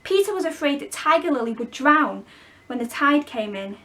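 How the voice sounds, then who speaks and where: A woman storyteller reading a children's story expressively, with clear phrasing for young listeners. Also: sits high, very animated, normal speed, a bit bright. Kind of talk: expressive scripted storybook narration.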